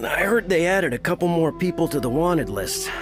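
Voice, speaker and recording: An actor playing a young patrol soldier in an anime game, musing, casual and resigned. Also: male voice, on the high side, big pitch swings, moderate pace, music in the background.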